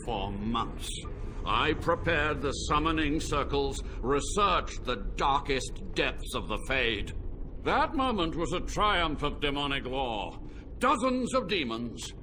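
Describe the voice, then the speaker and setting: An aged, arrogant blood mage. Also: male voice, fairly high pitch, big pitch swings, background noise. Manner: cold, arrogant, measured. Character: aged scheming male blood mage scholar.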